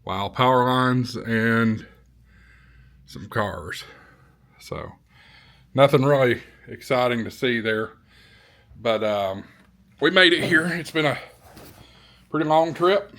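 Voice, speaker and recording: A man's voice: mid-range voice, expressive, slow, long pauses, fairly bright tone. A man talks in a casual vlog style from a hotel room. He is easygoing and a little hesitant, circling back over his phrases as he goes. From American South. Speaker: vlogger and ham radio YouTuber.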